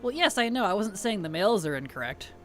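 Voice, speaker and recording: A woman game streamer chatting casually and playfully on a livestream, her tired voice starting to weaken and turn gravelly. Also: mid-range voice, big pitch swings, quick pace, faint music behind. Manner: playful, teasing, chuckles often. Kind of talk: casual livestream chat over gameplay.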